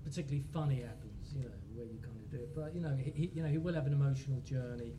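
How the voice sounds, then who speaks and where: Guy chatting candidly on a panel, mid pitch, bit far from the mic. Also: very fast, a crowd in the background. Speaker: panellist, novelist. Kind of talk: casual panel talk. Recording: distant mic, noisy live room.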